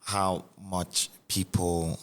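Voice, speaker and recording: Deep-voiced man asking thoughtful questions. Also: little pitch movement, drawn-out words. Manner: thoughtful, probing, stumbles over wording. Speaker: podcast host. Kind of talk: casual interview questions.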